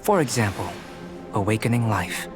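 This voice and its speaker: A man voicing a calm, detached young scholar-alchemist in a video game, speaking in a measured, articulate, pensive way. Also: lowish voice, close to an everyday voice. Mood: calm, thoughtful. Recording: game music behind the voice.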